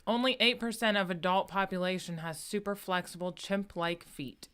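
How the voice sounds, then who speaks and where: Female co-host with a low but bright, crisp voice, reads trivia, giggles. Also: steady tone, normal speed. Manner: reads facts aloud, laughs often, swears. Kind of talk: casual live-stream chat.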